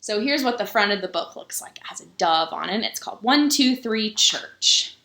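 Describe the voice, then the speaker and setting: A woman pastor reading a children's book aloud for story time, pausing to explain things simply to young listeners. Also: medium pitch, lively intonation, sharp tone. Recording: roomy, echoing sound.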